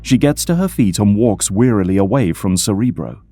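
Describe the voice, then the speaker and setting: A man's voice: on the low side, expressive, normal speed, dark tone, faint music behind. A man reading scripted, dramatic third-person narration for an audio drama as its narrator.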